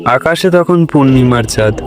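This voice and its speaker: A man's voice: medium pitch, animated, moderate pace. A man narrating a scripted folk tale for a cartoon as its storyteller. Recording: loud background music.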